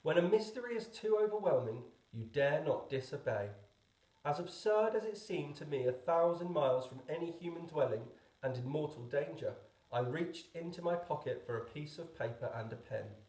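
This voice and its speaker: A man narrating a storybook as a teacher would, expressively, with deliberate pauses. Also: fairly high pitch, animated, a little mellow, echoey room, low-quality recording. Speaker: teacher reading a book aloud.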